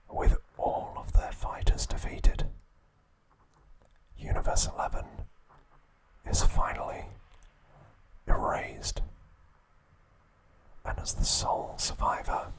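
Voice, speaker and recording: A man hosting an ASMR video, recapping a story in a soft, unvoiced whisper. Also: poor audio. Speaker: ASMR host.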